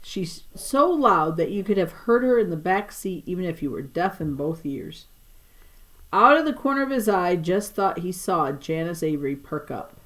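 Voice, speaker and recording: Female teacher as storybook narrator: somewhat low pitch, expressive read-aloud for schoolchildren. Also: normal speed, low-quality recording.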